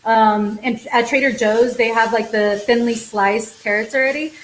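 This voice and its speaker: A woman's voice: fairly high pitch, steady tone, normal speed. A young woman hosting a cooking show, playful and chatty as she cooks with a guest. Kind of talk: casual cooking chat. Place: home kitchen. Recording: echoey kitchen with faint noise.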